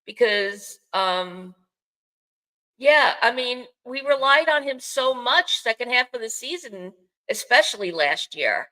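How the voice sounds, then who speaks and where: An older woman with a Bronx accent and a bright, forward voice pitched a little high. She co-hosts a sports podcast, talking loosely and off the cuff, with wry asides at her own expense. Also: animated, slow pace, poor audio. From the Bronx, New York, United States. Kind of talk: casual unscripted baseball chat.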